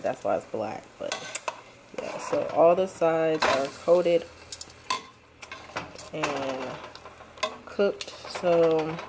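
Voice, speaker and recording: A woman in her twenties casually narrating her cooking in a small home kitchen, rambling with many ums, filmed on a handheld camera. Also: low-pitched. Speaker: vlogger. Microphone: handheld camera, close and moving. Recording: noisy kitchen with frying sounds.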